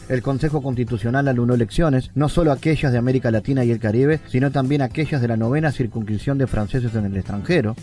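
A male host reads out a formal introduction and then puts questions to his guest. His voice is warm and dark, and soft music plays faintly underneath. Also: medium pitch. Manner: formal and read aloud. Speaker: interviewer, host.

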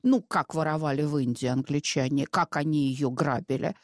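A woman's voice: low-pitched, moderate pace, darkish tone, no echo. A Russian woman speaking as a guest in a studio discussion, in a discursive, hesitant way full of fillers.

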